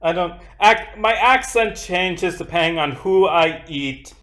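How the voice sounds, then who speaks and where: A man running a tabletop game as dungeon master, animated and joking, often correcting himself mid-sentence. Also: sits high, measured pace.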